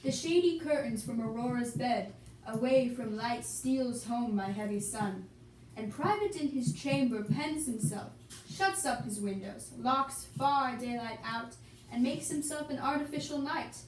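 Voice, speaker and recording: A woman's voice: a little high, lively intonation, unhurried. A woman voicing a worried old noble father, reciting verse in an amateur Shakespeare puppet show. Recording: echoey room, distant mic, background hiss.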